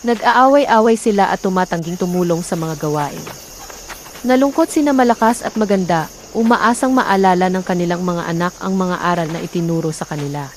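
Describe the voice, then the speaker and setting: A woman's voice: lowish voice, a little fast, a little hiss or hum. A woman storyteller reading a scripted folktale narration.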